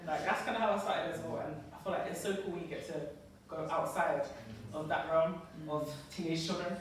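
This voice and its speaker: A young woman poet chatting casually and giggly with an audience between poems, and reciting slowly and deliberately. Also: deep voice, steady tone. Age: young adult. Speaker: poet and performer. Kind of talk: casual stage chat, then poetry recital. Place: large indoor event hall with audience. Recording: echoey hall, distant mic, audience noise.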